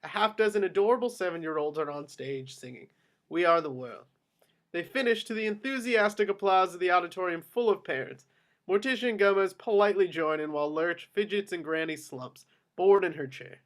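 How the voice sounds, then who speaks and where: A man's voice: high-pitched, lively intonation, fairly quick, fairly bright tone. A man narrating scene headings and stage directions for a script table read.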